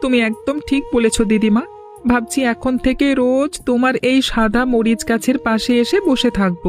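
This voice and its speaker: A woman plays a sentimental village boy in a cartoon. The voice is fairly high and the temper gentle, with faint music behind it. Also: fairly even intonation, normal speed, lightly acted. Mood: wistful. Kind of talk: cartoon dialogue acting.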